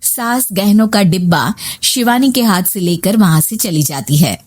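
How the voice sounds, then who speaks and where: A woman's voice: medium pitch, lively intonation, moderate pace, slightly rough. A woman reading scripted narration for a moral family story.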